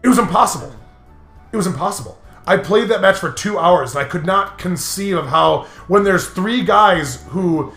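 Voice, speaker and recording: Man, highish voice, casual gaming storyteller, emphatic and exasperated; echoey room, light music behind. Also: animated, moderate pace, vocal fry, a bit bright. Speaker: host of a gaming commentary video. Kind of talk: casual banter and rambling gaming stories. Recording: roomy sound with music behind.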